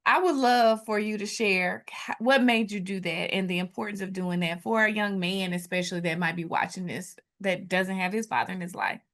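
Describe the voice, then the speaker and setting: A woman's voice: mid-range voice, moderate pace, a bit bright. A middle-aged woman hosting an interview, affirming her guest and building up at length to her questions. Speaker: podcast host.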